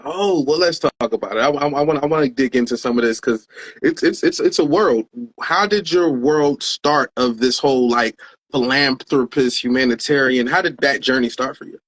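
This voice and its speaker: A man's voice: mid-range voice, moderate pace, a bit bright. A man hosting an interview show in a casual, hyped way, using slang and repeating words for emphasis. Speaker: show host.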